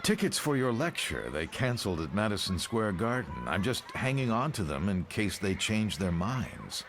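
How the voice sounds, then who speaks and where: Male actor as loyal young protégé, low voice with lively swings, earnest and questioning, faint noise. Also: normal speed. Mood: earnest, respectful. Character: earnest, loyal young man challenging his mentor. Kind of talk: motion comic voice acting.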